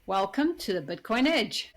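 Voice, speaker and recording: A woman's voice: mid-range voice, big pitch swings, normal speed. A woman hosting a podcast interview, asking questions in an upbeat, friendly way. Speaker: podcast host. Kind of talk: interview host.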